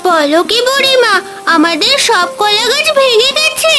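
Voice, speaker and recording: A very high, lively little girl's voice, eager and pleading. She sounds like a village child in a cartoon fairy tale, with music clearly playing behind her. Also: a little slow, full character voice. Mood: alarmed, insistent.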